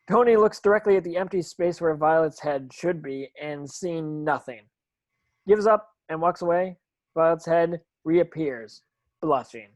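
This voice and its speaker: A woman's voice: deep voice, darkish tone, dry recording. A woman reading script stage directions as narration, with occasional stumbles over the words.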